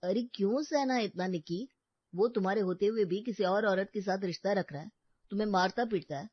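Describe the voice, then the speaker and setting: A woman's voice: mid-range voice, brisk, husky, close to an everyday voice. A woman voicing an indignant young man who defends a mistreated woman. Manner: indignant, consoling. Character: protective young man, angry brother figure. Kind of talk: storybook voice acting. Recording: dull lo-fi studio voice.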